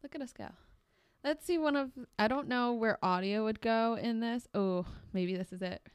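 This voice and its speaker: A woman's voice: a little high, normal speed, smooth, no room sound, clean studio sound. A woman with an American accent co-hosting a podcast in casual, chatty, joking banter. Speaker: podcast co-host.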